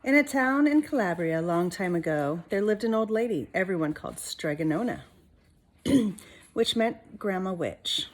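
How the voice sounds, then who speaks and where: Woman reading a children's storybook aloud. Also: mid-range voice. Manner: expressive, pausing to ask listeners questions.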